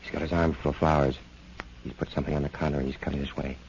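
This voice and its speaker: A man's voice: rumbling low, little pitch movement, very fast, darkish tone. A man playing a blunt, tough police lieutenant in a 1930s radio crime drama. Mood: curious, watchful. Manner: inquisitive, blunt. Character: brisk, tough police lieutenant, the captain's assistant. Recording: muffled 1930s radio recording with hiss.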